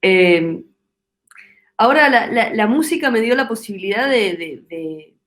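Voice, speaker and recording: A woman's voice: mid-range voice, expressive, unhurried, fairly bright tone. Argentine woman musing thoughtfully. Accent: Argentine Spanish. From Argentina, Córdoba. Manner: thoughtful, searching for words, many restarts. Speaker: guest singer-songwriter. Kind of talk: reflective interview talk.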